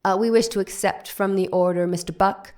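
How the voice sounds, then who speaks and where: A woman's voice: mid-range voice, brisk, natural voice. A woman reading the part of defense counsel, addressing the court in a formal manner. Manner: formal and even. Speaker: lawyer. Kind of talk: dramatic reading of a trial transcript.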